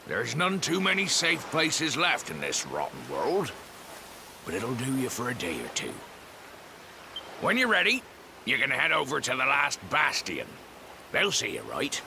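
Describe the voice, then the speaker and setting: Gravelly old fisherman, very wide swings of pitch, hearty, excitable, folksy, kindly, video game character. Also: unhurried, long pauses, background noise, heavily acted. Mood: gruff kindness. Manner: folksy seafarer talk, refers to himself by name. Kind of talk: video game cutscene voice acting. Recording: game music under the voice.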